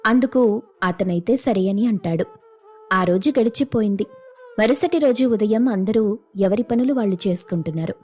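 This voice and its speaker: A woman's voice: mid-range voice, moderate pace, dark tone, slightly rough. A woman reading a scripted family story as a storyteller. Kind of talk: scripted story narration with acted dialogue.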